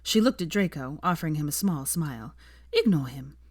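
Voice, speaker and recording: Casting: woman audiobook narrator, low register, close and dry recording. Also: lively intonation, a little fast, darkish tone, dry recording. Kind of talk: scripted fiction narration, audiobook reading.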